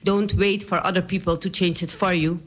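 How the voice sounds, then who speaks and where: A woman's voice: fairly low pitch, fairly even intonation, fairly quick. A woman with a Surinamese accent, a politician telling her story in an interview, chatty and laughing often. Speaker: politician guest.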